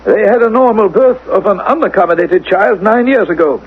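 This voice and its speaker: An actor playing a formal, unbending government official in a futuristic radio drama, speaking with clinical precision. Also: male voice, sits high, moderate pace, breathy edge. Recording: tinny, narrow old radio recording.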